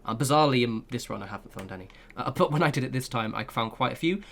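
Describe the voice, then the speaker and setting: A man's voice: mid-range voice, lively intonation, fast talker, a bit bright, a little hiss or hum. A man giving a casual, rambling walkthrough of a video game from his room at home, full of asides and hedging fillers. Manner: chatty, rambling, lots of "kind of" and "sort of". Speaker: gaming video creator. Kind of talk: casual game guide commentary. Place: home room with flatmates nearby.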